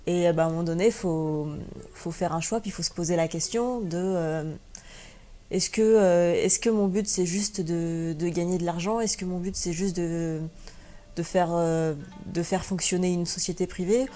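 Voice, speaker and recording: A woman's voice: lowish voice, steady tone, normal speed, warm tone. A young woman from France answering interview questions as a guest, hesitant, with many fillers and restarts. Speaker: guest blogger and teacher.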